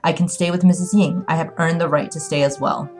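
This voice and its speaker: A woman's voice: low voice, fairly even intonation, a little fast, darkish tone, soft background music. Woman playing a passionate young daughter, defiant, indignant, urgent delivery, audiobook drama. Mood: determined.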